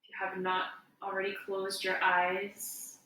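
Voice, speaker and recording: A woman giving guided meditation instruction as a yoga teacher, in a gentle, unhurried, soothing way. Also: mid-range voice, monotone. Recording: echoey room, distant mic, faint noise.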